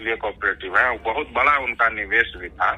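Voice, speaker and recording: A man's voice: on the low side, fairly quick, breathy edge. A man with a Bihari Indian accent speaking informally over a telephone line as a company associate, deferential and earnest, often repeating his words as he explains. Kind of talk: informal phone conversation. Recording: sharp, radio-like telephone line.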